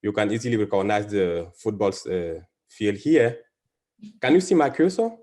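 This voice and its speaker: A man from Cameroon, a biology professor, giving an academic presentation over a video call, halting with many fillers and restarts. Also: lowish voice, lively intonation, a little mellow.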